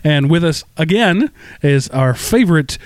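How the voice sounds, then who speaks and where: A man's voice: a little high, expressive, a little slow, no room sound, clean studio sound. A man hosting a casual tech podcast, chatty and self-deprecating, with lots of fillers and restarts. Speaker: podcast host.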